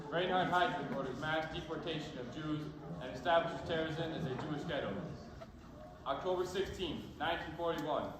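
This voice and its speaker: A man's voice: a little high, close to an everyday voice. A young man playing a grave, formal announcer, reciting scripted stage narration in clipped phrases. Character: formal, grave male announcer of wartime dates. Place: stage in an echoing hall, live performance. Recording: echoing stage hall, loud background noise.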